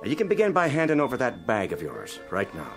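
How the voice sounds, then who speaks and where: An actor playing a gruff, commanding, suspicious police officer in a radio drama. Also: male voice, medium pitch, wildly swooping pitch, fairly quick, music in the background.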